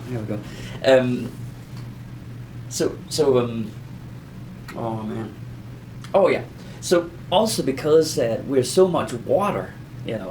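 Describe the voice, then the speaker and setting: A man with a medium-pitched voice explains things in an informal lesson. He talks conversationally and haltingly, circling back and repeating himself. There is some light noise behind him. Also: darkish tone, rough recording. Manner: halting, rambling explanations with self-repetition. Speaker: audio explainer teaching beginners.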